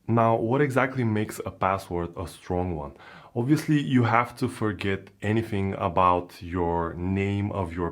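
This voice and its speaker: Bulgarian-accented man giving security tips. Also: on the low side. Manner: plain instructive, with frequent uh fillers. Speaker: crypto security educator. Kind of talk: explainer video narration.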